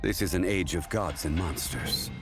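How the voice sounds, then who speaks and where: A man voicing a grave ancient god, very deep. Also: lightly acted. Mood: solemn. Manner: slow, grave and measured. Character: ancient dignified male god, calm and solemn. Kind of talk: game trailer voice acting. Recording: game clip with loud music.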